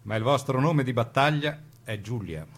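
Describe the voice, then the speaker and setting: An actor playing a menacing, coldly polite fascist interrogator in a radio drama, with a condescending manner. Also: male voice, medium pitch, expressive, normal speed. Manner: condescending, insinuating. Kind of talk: stage radio drama dialogue.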